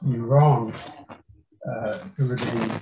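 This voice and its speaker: An older man speaking as an alumnus guest on a video call, reminiscing in a halting way with many fillers and restarts. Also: medium pitch, steady tone. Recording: dull roomy video-call audio with faint noise.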